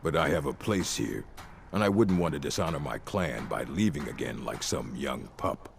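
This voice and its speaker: Very deep, gravelly orc warrior. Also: male voice, animated, normal speed, noisy background, exaggerated voice. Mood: reflective. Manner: blunt, terse, formal. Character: gruff orc warrior of a stronghold, proud and dutiful. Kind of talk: video game character dialogue. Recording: game music playing behind.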